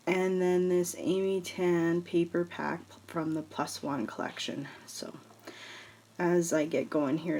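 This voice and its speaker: A woman's voice: fairly low pitch, dark tone. A woman with a congested, nasal voice chatting casually through a scrapbooking tutorial, rambling with fillers and small laughs. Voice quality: stuffed-up, nasal. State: congested from allergies. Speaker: scrapbooking vlogger. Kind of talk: casual craft tutorial chat.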